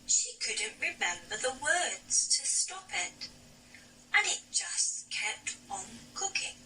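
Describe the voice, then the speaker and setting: A woman narrating a scripted fairy tale for a children's puppet show, with theatrical, deliberate phrasing. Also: sits high, pushed and bright, distant mic, poor audio.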